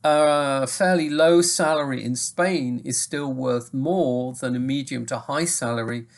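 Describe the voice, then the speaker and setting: Slow, deliberate English lecturer, faint Manchester. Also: male voice, mid-range voice. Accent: faint Mancunian, softened by southern English. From England. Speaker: sustainability lecturer. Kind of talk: recorded video lecture. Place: home study with bookshelves. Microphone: webcam.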